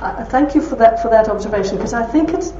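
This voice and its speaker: A woman's voice: on the high side, animated, fast, noisy background. Belfast woman telling stories in a hall. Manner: warm anecdotal storytelling with laughs. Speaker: speaker at a talk. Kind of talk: talk with audience questions. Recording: roomy hall from far off, dull.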